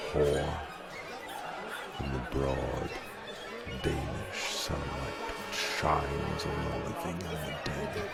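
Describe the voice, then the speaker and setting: A man's voice: very deep voice, creaky, full character voice. A man playing brooding, scornful Hamlet. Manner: slow, brooding, contemptuous delivery. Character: bitter, mocking young prince Hamlet. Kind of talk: experimental audio play. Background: music.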